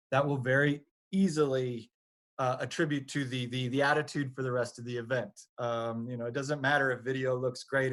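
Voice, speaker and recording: Halting man explaining on a panel. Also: mid-range voice. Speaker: audio technician on a training panel.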